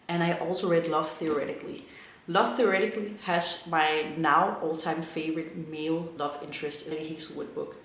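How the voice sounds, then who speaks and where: Man, Danish accent, higher-pitched voice, chatty book review, echoey room, faint background hiss. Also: fairly bright tone. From Denmark. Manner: chatty, enthusiastic about books. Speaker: book vlogger.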